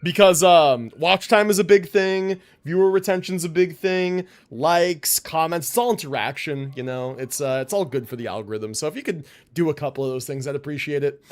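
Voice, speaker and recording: A man's voice: fairly high pitch, normal speed, hardly any pauses. A young man chatting casually to his livestream viewers as a game streamer, informal and rambling, with free swearing.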